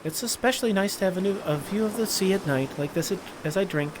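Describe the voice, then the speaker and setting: A woman playing a composed, nostalgic working woman in a video game, speaking in a measured, confiding way. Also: medium pitch, background noise, close to an everyday voice. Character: composed working woman, nostalgic regular customer, Miss Yoshi.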